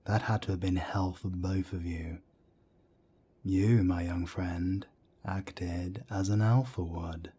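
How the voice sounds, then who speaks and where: A man playing a calm, firm older werewolf councillor and mentor in an audio drama, with a soft, breathy rasp. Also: low voice, slight background noise. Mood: earnest, insistent. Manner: measured, firm, reassuring. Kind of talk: scripted roleplay audio drama.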